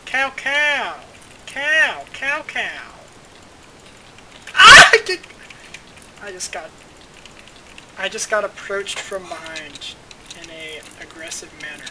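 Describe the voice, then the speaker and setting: A gamer chatting casually during play, teasing and bossy, shouting when excited. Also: medium pitch, slow pace, long pauses, very noisy. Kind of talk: casual gaming chat.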